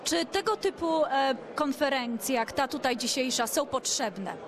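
A woman with a higher voice interviews guests, putting brisk, direct questions. She sounds businesslike. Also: crowd noise.

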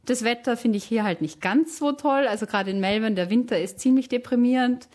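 A woman's voice: a little high, brisk, darkish tone. A young woman from Tyrol with a light Austrian accent, a German teacher answering interview questions in a chatty way, often correcting herself. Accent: Austrian, Tyrolean-tinged standard German. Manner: chatty, self-correcting, many fillers.

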